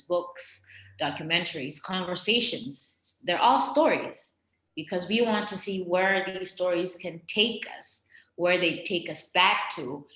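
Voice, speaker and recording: A young woman from Mozambique with an American accent, telling her story as a podcast guest in an earnest, animated way with frequent fillers. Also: on the low side. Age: young adult. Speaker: guest, creative director. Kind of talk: podcast conversation. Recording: roomy echo.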